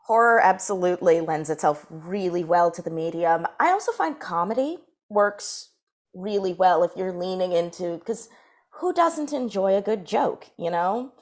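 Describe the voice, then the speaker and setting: Lowish-voiced woman, thoughtful panelist. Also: animated, normal speed. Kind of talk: explanatory panel discussion.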